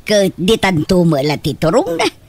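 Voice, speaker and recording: A woman playing an excitable, doting village mother in a radio comedy, with an exclamatory, giggly, gushing delivery. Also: on the low side, moderate pace, background noise. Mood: gleeful, chatty. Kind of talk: comedy radio drama acting.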